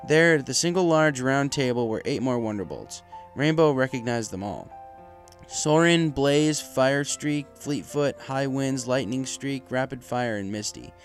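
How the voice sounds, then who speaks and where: A man with a mid-pitched voice narrates a scripted story. He reads as the narrator of fan fiction, with music playing faintly underneath. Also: animated, a little slow. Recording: music behind the voice.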